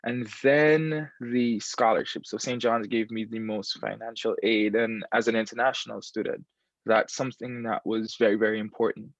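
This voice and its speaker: A man's voice: lowish voice, fairly even intonation, moderate pace, dry recording. A man in his twenties from Guyana, talking casually as an interview guest, repeating himself with many fillers. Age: 20s. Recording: dull lo-fi sound.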